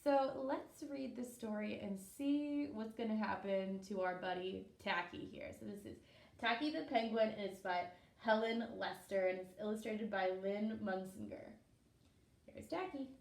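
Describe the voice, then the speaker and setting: A woman preschool teacher reading a story aloud to young children, expressively, pausing to explain and ask simple questions. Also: mid-range voice, gravelly. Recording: echoey room.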